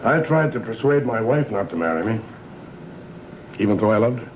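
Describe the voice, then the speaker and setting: An actor playing a weary, fatalistic old patriarch in a gothic soap opera, speaking in grave, ominous, theatrical phrases. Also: male voice, lowish voice, expressive, brisk, slight background noise, close to an everyday voice, low-quality recording. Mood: brooding. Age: older. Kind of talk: 1960s-70s gothic soap opera acting. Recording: old TV soundtrack with background music.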